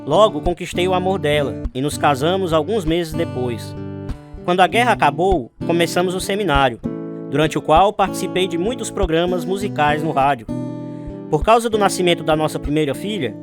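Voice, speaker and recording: Earnest missionary husband male actor, Brazilian accent, slightly high voice, sincere radio-testimony storytelling over clear music. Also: a little fast, close to an everyday voice. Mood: reflective narration. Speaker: narrator and lead character. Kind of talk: dramatized radio testimony, scripted narration and dialogue.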